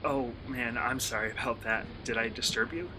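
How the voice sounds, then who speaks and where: A man playing an eager, polite college student and hobby vlogger in a radio play, excitable and earnest. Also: medium pitch, normal speed, background noise, natural voice. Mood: sheepish, polite. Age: young adult.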